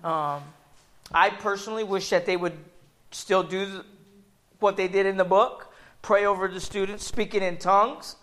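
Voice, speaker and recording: A man in his forties gives an earnest talk to a church group, loud and halting, with plenty of ums. His voice sits notably high for a man. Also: steady tone. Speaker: ministry public relations speaker. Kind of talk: testimony sermon.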